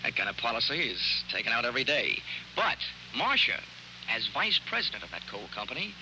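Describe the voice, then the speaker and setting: An actor playing a wisecracking private investigator in a 1940s American radio drama, with a sardonic, punchy, slangy delivery. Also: male voice, medium pitch, very animated, brisk, sharp tone. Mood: probing, pressing. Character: wisecracking, hard-boiled male private investigator. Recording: noisy, hissy 1940s radio recording.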